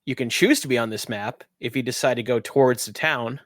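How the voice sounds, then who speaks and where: A man's voice: mid-range voice, brisk, a bit bright, dry recording, studio quality. A man narrating a tabletop roleplaying game as game master, improvising as he goes.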